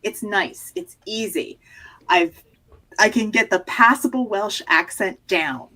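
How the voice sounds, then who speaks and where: A woman's voice: medium pitch, takes it slowly, sharp tone, poor audio. A middle-aged woman co-hosting a podcast, chatting casually with lots of restarts and fillers. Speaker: podcast co-host. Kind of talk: casual chat.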